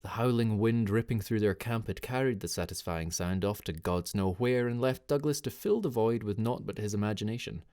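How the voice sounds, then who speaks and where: A man's voice: fairly low pitch, brisk, few pauses, a little mellow. A man reading scripted fiction as an audiobook narrator, telling the story in a dramatic style.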